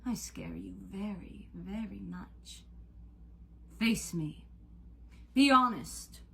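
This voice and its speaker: Woman as an imperious, slow, taunting love god. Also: mid-range voice, gravelly, pushed and bright, slight background noise, exaggerated voice. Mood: menacing. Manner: drawn-out, menacing, declamatory.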